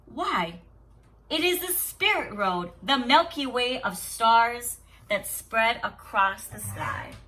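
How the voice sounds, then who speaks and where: A courageous, kind-hearted young woman in a storybook folktale, voiced by a woman reader. She speaks in a bright, fairly high voice, earnest and deliberate, with wonder. The microphone is a little way off. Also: expressive, far from the mic, heavily acted. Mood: awed.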